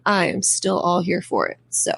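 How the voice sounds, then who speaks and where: Crackly, creaky-voiced woman chatting through a tutorial. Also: on the low side, animated, moderate pace, fairly bright tone, dry recording. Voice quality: crackly, raspy. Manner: chatty, informal, rambling asides. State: crackly voice from being under the weather. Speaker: nail art tutorial host. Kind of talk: casual voice-over walkthrough.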